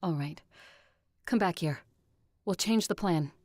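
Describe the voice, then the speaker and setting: A woman's voice: on the low side, unhurried, darkish tone, no echo, clean studio sound. An actress playing a tough woman fighter who is fiercely attached to her lover in an audio drama, intimate and wistful. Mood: urgent, guarded.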